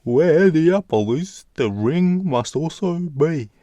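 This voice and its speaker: A man voicing a big, scheming, bullying storybook giant with a booming, drawn-out, forceful delivery. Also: animated, no echo. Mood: demanding, menacing. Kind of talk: storybook character voice.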